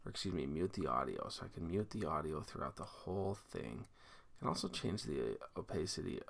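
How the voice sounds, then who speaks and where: A man's voice: deep voice, sing-song, fairly quick, vocal fry, fairly bright tone. A man giving a casual screencast tutorial, explaining each step informally and thinking aloud as he works. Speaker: teacher giving a software tutorial. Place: indoors at a computer, screen recording.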